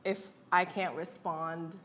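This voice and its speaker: A young woman, a guest chef raised in the States, chatting casually over a meal on a lapel mic, slangy and quick to laugh. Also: fairly low pitch, flat delivery, crowd noise. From United States. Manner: laid-back, slangy, laughs often. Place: indoor dining table set with food.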